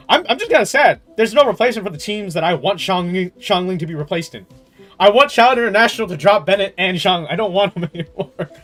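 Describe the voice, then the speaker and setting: A man's voice: a little high, fairly bright tone. A man streaming a game, chatting casually and rambling through his thoughts in slangy, stop-start talk with some swearing. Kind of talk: casual livestream chat, rambling gaming commentary. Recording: music playing behind the voice.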